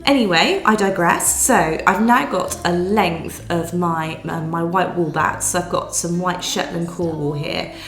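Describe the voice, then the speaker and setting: A woman, nasal and croaky from a cold, patiently talking through a needle felting tutorial step by step. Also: lowish voice, measured pace, few pauses. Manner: patient step-by-step explaining with fillers. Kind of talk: craft tutorial voice-over. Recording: roomy sound with soft music behind.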